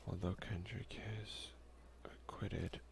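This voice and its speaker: Male, ASMR let's player, hushed, heavy vocal fry, low pitch, soft casual delivery, close mic. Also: steady tone, slow pace. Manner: soft-spoken, often slipping into whisper. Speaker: let's play ASMR video creator.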